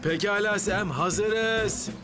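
A man's voice: sits high, takes it slowly. A man voicing a good-natured, slightly timid junior fireman in a children's cartoon, simple and eager. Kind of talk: Turkish children's cartoon dub acting. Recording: loud background music.

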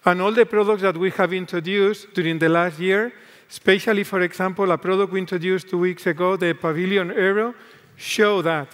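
Spanish-accented executive, 50s, high-pitched, onstage keynote with hall reverb, earnest delivery. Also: male voice, steady tone, a bit bright. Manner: earnest, deliberate corporate speech. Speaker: tech executive guest on stage. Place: large conference stage. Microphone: headset mic.

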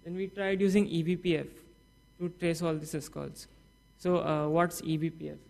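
A young man gives a technical talk from a conference stage, with a slightly high voice. He speaks haltingly, stopping often with fillers and restarting his sentences. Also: flat delivery, vocal fry, darkish tone. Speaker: student project presenter.

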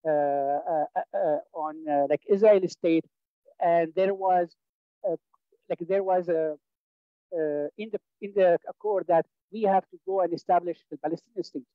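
A man with a high voice speaks into a headset on a video call, pushing his points emphatically through many fillers and restarts. The sound is dull and very dry. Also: normal speed, no echo. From Syria. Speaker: audience member. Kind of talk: impassioned political comment. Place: small home room, indoors, video call. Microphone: headset mic.

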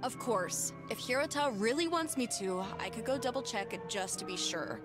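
A woman's voice: a little high, lively intonation, normal speed, lightly acted. An actress playing a smug, scheming young woman shop supervisor in a visual novel, haughty with feigned innocence. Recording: music behind.

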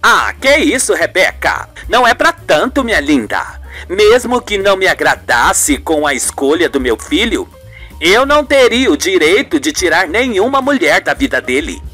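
A woman's voice: lowish voice, very animated, normal speed, fairly bright tone, husky, faint music behind, exaggerated voice. A woman playing a haughty, falsely sweet older mother-in-law in an amateur radio soap, gushing and affected. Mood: smug, sweet.

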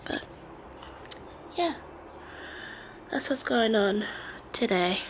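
Young Aussie woman, congested, slow rambling vlog talk, gravelly. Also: a little high, lively intonation, a little hiss or hum. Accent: Australian. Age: young adult. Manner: rambling, hesitant, many fillers. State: congested, blocked nose. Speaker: vlogger. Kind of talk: casual vlog chat to camera. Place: living room of a house, indoors. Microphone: handheld camera at arm's length.

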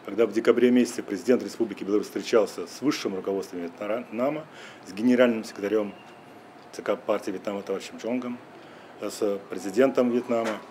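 Measured older man, low voice. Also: steady tone, noisy background. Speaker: delegation official. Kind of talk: formal interview answer. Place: formal reception room indoors.

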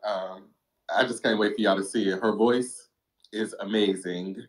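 A man's voice: on the low side, fairly even intonation, moderate pace. A man co-hosting a live audio chat, chatty and protective of the guest. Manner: chatty, protective, laughs while talking. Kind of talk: casual chat on an audio room.

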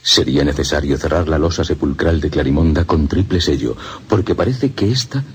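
Very deep-voiced, severe abbot. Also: male voice, slight background noise. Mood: grave warning. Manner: solemn, slow, portentous delivery. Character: grave, severe abbot warning of demons, ominous. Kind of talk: radio play acting.

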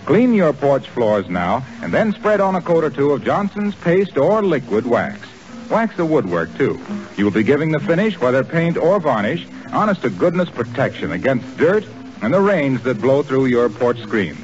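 A hearty radio announcer from the 1940s reading a product spot in a lively, rising and falling voice. Orchestra music plays clearly behind him, and the whole thing sounds muffled like an old broadcast. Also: male voice, mid-range voice, normal speed.

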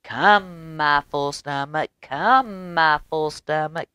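A woman voicing a plucky little male rooster who crows his demands in a loud, high chant. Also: takes it slowly, clean tone, no echo, dull audio, heavily acted.

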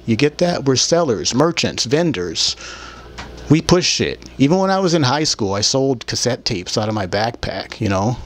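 A man's voice: mid-range voice, big pitch swings, moderate pace. A man in his thirties hosting his own show, delivering a rambling, blunt and aggrieved monologue with profanity into a desktop microphone in a small room. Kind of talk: rambling opinionated monologue to camera. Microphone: desktop microphone, later held in hand. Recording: clear background noise, room ambience.

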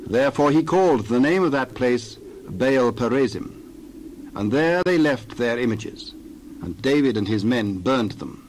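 A male narrator with a medium-pitched voice reads scripture in a measured, formal style. There is faint noise in the recording. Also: animated, low-quality recording.

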